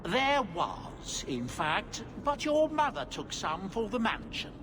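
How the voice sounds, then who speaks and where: An actor playing a fussy, deferential dwarf merchant in a video game, courteous, formal and eager to please. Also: male voice, sits high, wildly swooping pitch, slow, noisy background, heavily acted. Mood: humble, grateful. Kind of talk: video game cutscene acting.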